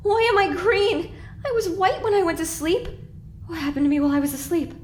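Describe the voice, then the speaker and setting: A woman as a bewildered, high-voiced young mare. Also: fairly quick, slight background noise. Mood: disbelieving. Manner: hesitant, bewildered. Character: young confused mare pony waking from stasis, anxious. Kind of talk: audio drama acting.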